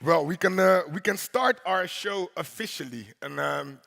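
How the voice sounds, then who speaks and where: A deep-voiced male host on a big stage, his gravelly voice bright and forward as it rings through a large hall with a long echo. He speaks in a playful, rhythmic way, teasing the crowd and checking in with them. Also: moderate pace, slight background noise. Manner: playful, rhythmic, crowd-engaging with repeated "right?". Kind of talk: opening speech at a congress.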